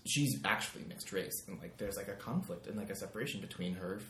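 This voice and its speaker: Guy on a podcast, kinda low voice, says like a lot, roomy sound w some hiss. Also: lively intonation, fast, a bit bright. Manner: rambling, lots of likes and ums. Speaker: podcast guest, songwriter. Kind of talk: casual chat. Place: living room.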